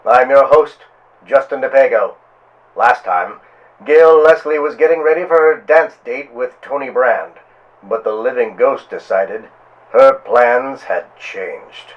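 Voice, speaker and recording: Slow, dramatic male radio serial narrator. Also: medium pitch, lo-fi sound. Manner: dramatic, portentous, deliberate pauses. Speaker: host and narrator. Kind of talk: scripted pulp radio narration.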